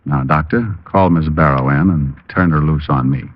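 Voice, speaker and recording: A man's voice: very deep voice, normal speed, no room sound. A man playing a wisecracking, cool-headed private detective in vintage radio drama style. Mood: brisk, sly. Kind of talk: 1940s-50s radio drama acting with narration. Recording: muffled old radio recording with music.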